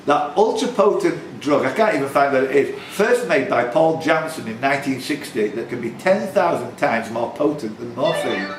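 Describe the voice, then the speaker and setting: A man's voice: mid-range voice, expressive, normal speed. A man hosting a live pub quiz, reading questions and bantering, with asides that interrupt his own sentences. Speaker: quiz host, question reader. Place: indoors in a tavern. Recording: roomy pub, distant mic, faint noise.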